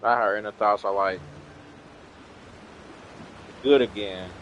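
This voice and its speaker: Male gamer commentator: lowish register, casual, informal reactions, music behind. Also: steady tone, slow, fairly bright tone, low-quality recording.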